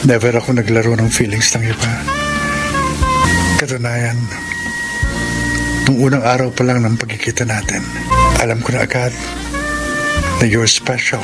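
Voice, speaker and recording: Casting: man playing lovestruck young Filipino suitor, earnest, pleading, middle pitch, radio drama under loud music. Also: flat delivery, normal speed, pauses often, lightly acted, poor audio. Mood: earnest confessing. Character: earnest lovestruck young aspiring filmmaker, Jules.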